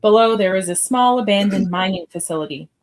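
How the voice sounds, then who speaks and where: A woman's voice: mid-range voice, fairly quick. Female narrator, mid-range voice, reading scene directions for a live radio-style script read.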